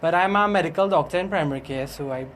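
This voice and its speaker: Male, middle-aged, Trinidadian accent, fairly high voice; hesitant, explanatory interview guest over faint background noise. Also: quick pace. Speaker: interview guest, language teacher and doctor.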